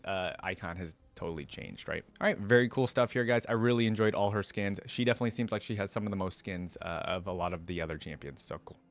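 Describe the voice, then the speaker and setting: Low-voiced man in casual reaction commentary. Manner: informal, interjects own thoughts while reading. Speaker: reaction video host. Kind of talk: casual reaction commentary, reading lore aloud with asides.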